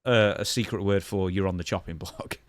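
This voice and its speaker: A man's voice: low-pitched, fairly even intonation, brisk, no room sound, studio quality. A man hosting a podcast, presenting news conversationally and putting questions to his co-host.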